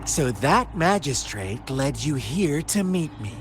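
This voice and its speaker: An actor playing a sly, mocking villain who tells tales with theatrical, taunting drama in a video game. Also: male voice, fairly high pitch, big pitch swings, slow pace, noisy background. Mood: mocking storytelling.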